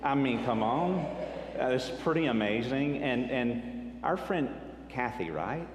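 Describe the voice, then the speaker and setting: A man's voice: mid-range voice, lively intonation, a little slow, slight background noise. A man, speaking to a church retreat group in a friendly, personal storytelling way. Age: 50s. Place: church hall. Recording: echoey hall, faint ambience.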